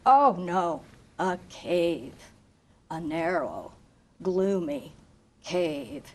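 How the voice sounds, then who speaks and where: A woman reading a picture book aloud to children in an expressive, chanting, sing-song way, engaging them with questions like a teacher. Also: fairly low pitch, very slow. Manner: asks listeners questions like a teacher. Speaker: volunteer story reader for children.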